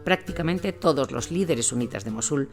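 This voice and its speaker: A man's voice: medium pitch, expressive, moderate pace. Spanish man narrating over music. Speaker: narrator of an audio drama. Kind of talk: scripted documentary war narration. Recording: music bed under the voice.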